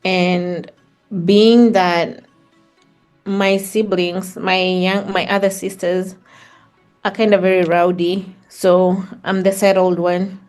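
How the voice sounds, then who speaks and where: A woman's voice: lowish voice, flat delivery, slow pace, darkish tone, clear, resonant tone, soft background music. A middle-aged woman with a Kenyan accent, reflecting on her life as an interview guest. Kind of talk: reflective personal storytelling in conversation.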